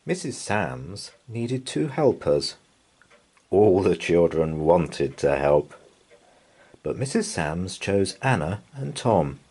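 A man reading a children's picture book aloud in a gentle, lively, sing-song way. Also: sits low, takes it slowly, breathy edge. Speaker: storybook reader.

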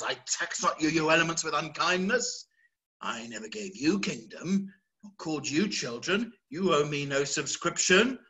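A man reading the part of a raging old king in a storm, declaiming and swinging between roaring and hushed lines. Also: medium pitch, vocal fry, full character voice, poor audio. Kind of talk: play read-through.

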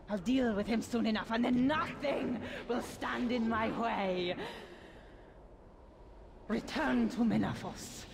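A haughty goddess villain from a fantasy game. Her delivery is slow, imperious and gloating, every phrase deliberate. Clear ambient noise sits behind the voice. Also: medium pitch. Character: haughty, scheming villainous desert goddess, female. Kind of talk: video game villain acting.

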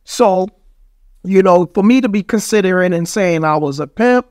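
A man's voice: sits high, lively intonation, moderate pace, darkish tone, clean tone, no echo, clean studio sound. A middle-aged man with a New York accent telling stories casually on a podcast, emphatic and full of street slang and swearing. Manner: emphatic, street slang, heavy swearing, frequent "you know" tags. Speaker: podcast guest. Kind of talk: casual storytelling in a podcast interview. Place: small studio with foam-padded wall. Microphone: studio microphone on boom arm.

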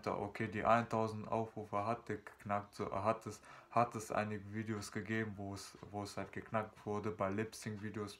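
Young man, casual webcam vlog, low voice, flat delivery, rambling with fillers, small room. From Germany, North Rhine-Westphalia. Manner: rambling, many fillers and restarts. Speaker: YouTuber. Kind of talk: casual vlog chat to camera.

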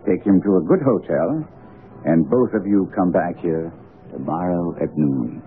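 An actor playing a brisk, commanding, skeptical gentleman detective in a radio mystery drama, probing with crisp authority. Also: low voice, lively intonation. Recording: narrow, tinny old radio broadcast.

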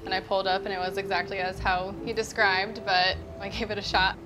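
A young woman talks casually about her farm work in a friendly, chatty way, laughing easily. Music plays clearly beneath her. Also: mid-range voice, level tone, a little fast, poor audio. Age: young adult. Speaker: vertical farmer. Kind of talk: casual interview talk. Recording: interview with background music.

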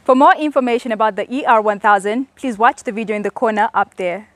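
A young woman giving a scripted product presentation to camera, explaining in a measured way. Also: on the high side, a bit bright. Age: young adult. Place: outdoors in a leafy garden.

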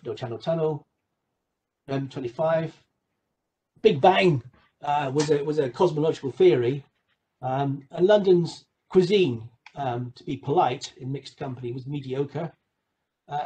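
A man giving an explanatory slide lecture over a video call, measured, with emphatic phrase openings. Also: on the high side, mellow, low-quality recording. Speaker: guest lecturer presenting a webinar.

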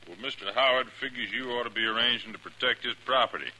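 An actor playing a pushy, insolent cattle range boss in a 1950s radio western. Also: male voice, mid-range voice, fast, sharp tone, low-quality recording. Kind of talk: 1950s radio drama acting.

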